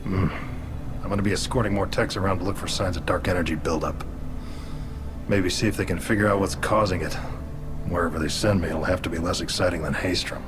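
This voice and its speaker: An actor playing a gruff, loyal alien marine in a video game, plain-spoken and curt. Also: male voice, low voice, fairly quick, background noise, natural voice. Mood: reserved, respectful.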